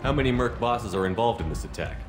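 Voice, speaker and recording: An actor playing a composed starship commander with a calm, dry, to-the-point manner. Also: male voice, mid-range voice, quick pace, close to an everyday voice. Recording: game cutscene with music behind.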